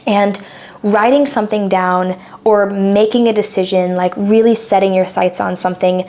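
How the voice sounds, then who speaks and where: A woman's voice: mid-range voice, fairly even intonation, normal speed, darkish tone. A woman in her twenties, co-hosting a podcast and explaining ideas in a thoughtful, casual chat. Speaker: podcast co-host.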